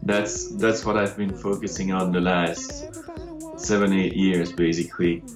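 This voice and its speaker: A man's voice: deep voice, flat delivery, unhurried, roomy sound, music in the background. A man in his late forties from Belgium, a DJ and producer chatting loosely in an interview, full of restarts and chuckles. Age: 40s. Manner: rambling, many restarts, chuckles often. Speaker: DJ and producer guest. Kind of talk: casual interview chat.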